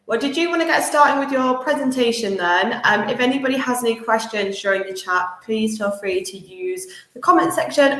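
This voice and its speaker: A woman's voice: mid-range voice, a bit bright. Bubbly woman hosting in an echoey room. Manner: bubbly, laughs often. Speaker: webinar host. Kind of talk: casual hosting. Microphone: video call. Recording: echoey room on a video call.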